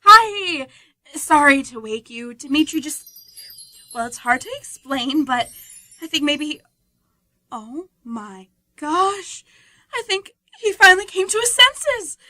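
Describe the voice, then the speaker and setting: Helen is a timid young woman who hesitates and stumbles over her words, speaking in a high, bright voice, as a woman acts her out. The radio play sounds close and dry. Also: very animated, slow, no echo, heavily acted. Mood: flustered. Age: young adult. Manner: hesitant, flustered, easily hurt. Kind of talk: amateur radio play acting.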